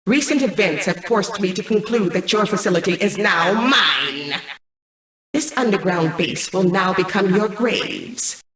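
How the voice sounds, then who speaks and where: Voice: medium pitch, fairly bright tone. A performer voicing a cold, menacing mainframe computer in a video game, declaiming threats through synthetic processing. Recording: echoing, distant announcement.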